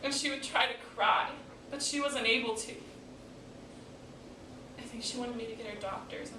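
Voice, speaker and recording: In an echoey room, some way from the mic, a woman acts out a grieving daughter in a stage monologue. She is deliberate and bitter, high and bright in voice, pausing heavily as she questions God. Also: natural voice. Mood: hushed grief. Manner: deliberate, dramatic pauses, swelling from hushed to pleading. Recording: echoey room, distant mic, background noise.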